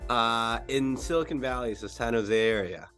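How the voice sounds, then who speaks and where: A man's voice: mid-range voice, moderate pace, fairly bright tone, soft background music. A man from the Philippines who moved to California young, chatting informally and a little hesitantly as a podcast guest. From Philippines, moved to California as a child. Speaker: podcast guest, mobile home renovation business owner. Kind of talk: casual chat.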